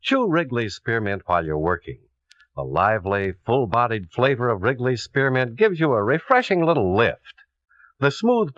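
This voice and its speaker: A man's voice: medium pitch, a bit bright, no echo. A man announcing in polished, emphatic 1950s radio commercial style. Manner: polished, emphatic selling delivery. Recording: muffled old radio recording.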